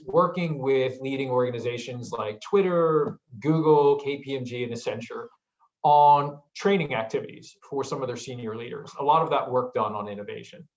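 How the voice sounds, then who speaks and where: A man with an American accent presenting an online business workshop, pausing often with ums and asking the audience questions. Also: mid-range voice, a bit bright, muffled recording. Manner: hesitant with frequent ums, asks the audience questions. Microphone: video call.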